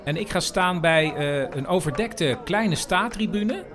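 A man's voice: sits high, lively intonation, measured pace, a bit bright. A man narrating an audio report as podcast host, in a wry, hesitant storytelling way. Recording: outdoor crowd and music behind. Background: football crowd, music.

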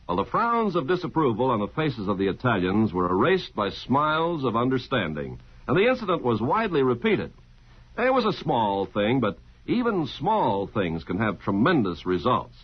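A man's voice: medium pitch, huge pitch swings, normal speed, husky. A man working as a radio announcer, reading scripted narration in a polished, formal 1950s broadcast manner. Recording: muffled vintage radio broadcast recording.

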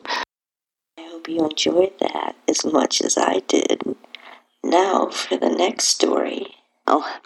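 A woman with a breathy, husky voice reading a first-person erotic story in a soft, intimate, near-whispered delivery. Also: low-pitched, no room sound, low-quality recording. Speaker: podcast host narrating own stories.

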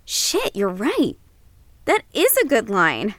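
A woman's voice: fairly high pitch, huge pitch swings, takes it slowly, no room sound, exaggerated voice. An actress playing a headstrong, flippant American film student in her early twenties in an audio drama. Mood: playful. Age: early 20s. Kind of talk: audio drama acting, documentary narration and casual banter.

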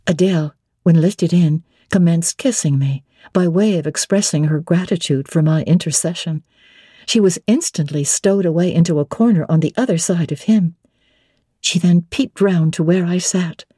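Woman audiobook narrator, mellow husky voice, expressive, theatrical, literary reading, dry close recording. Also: on the low side, moderate pace, dry recording. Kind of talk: dramatic literary narration in first person.

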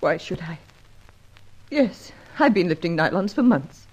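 An actress playing a proud, guarded young war widow in an old radio melodrama, with an emotional, halting, defensive delivery. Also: female voice, on the low side, sing-song, a little mellow, slightly rough, exaggerated voice. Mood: anguished. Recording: muffled, lo-fi old radio recording.

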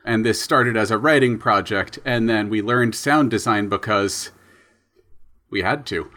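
A man's voice: fairly low pitch, unhurried, pushed and bright. A man who co-creates and sound designs podcasts, answering interview questions briefly and matter-of-factly.

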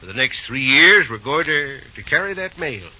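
An actor playing a kindly, proud old railroad boss with a halting rustic drawl in an old-time radio drama. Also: male voice, mid-range voice, very animated, pushed and bright, heavily acted. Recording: dull, hissy old radio recording.

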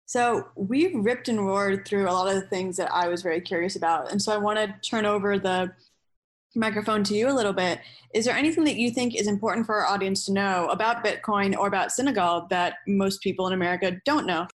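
A woman hosting an interview, asking clear, measured questions. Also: medium pitch. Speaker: interview host.

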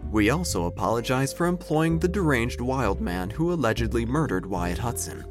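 Man playing nosy small-town narrator, deadpan, theatrical, medium pitch, scripted comic storytelling, music bed. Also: normal speed, lightly acted. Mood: wry, solemn.

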